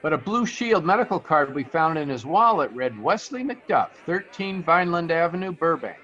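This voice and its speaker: Guy acting a sly young american bellhop in radio mystery, highish voice, slangy, bit muffled. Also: very animated, normal speed, pushed and bright. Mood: sly, chummy. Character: shifty young male bellhop hustling for tips. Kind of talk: old-time radio detective drama acting.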